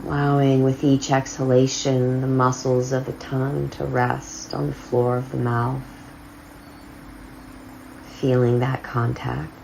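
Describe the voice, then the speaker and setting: A woman guiding a body scan meditation, speaking softly with long, drawn-out pauses. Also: extremely low pitch, level tone, vocal fry, slight background noise. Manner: slow, soft, drawn-out phrasing with long pauses. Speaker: meditation guide. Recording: slightly dull lo-fi sound over loud singing bowl music. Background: crystal singing bowls and flowing river.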